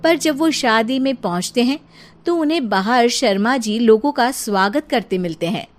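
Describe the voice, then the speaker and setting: A woman's voice: fairly high pitch, expressive, normal speed, soft background music. Playful woman narrating a cartoon story.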